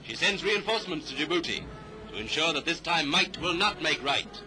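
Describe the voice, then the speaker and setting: A British man narrates a 1930s newsreel in a fairly high voice. His scripted commentary is clipped and formal to the period. It comes from a vintage soundtrack, with music clearly playing behind him. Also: animated, moderate pace.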